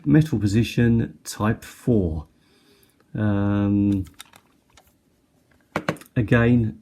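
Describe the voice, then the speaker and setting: A middle-aged man giving a casual, unscripted product show-and-tell in a hesitant, halting way. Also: medium pitch, gravelly, warm tone. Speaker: product reviewer. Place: indoors at a tabletop. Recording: noticeable background noise, handling clicks.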